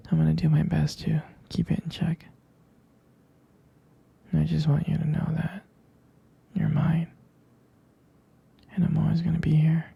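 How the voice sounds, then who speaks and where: A man playing a jealous, devoted boyfriend in an ASMR roleplay, speaking intimately and haltingly in a breathy, husky whisper. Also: on the high side, mellow, exaggerated voice. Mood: apologetic, devoted. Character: jealous, possessive, devoted boyfriend soothing his sweetheart.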